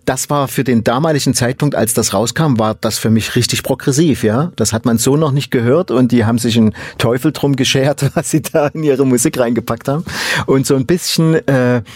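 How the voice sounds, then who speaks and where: A man asks a band about their album in a loose, rambling way, full of fillers and restarts. His voice sits at a middle pitch. The sound is dry and close. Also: normal speed, darkish tone, no room sound. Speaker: interviewer. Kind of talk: casual interview chat.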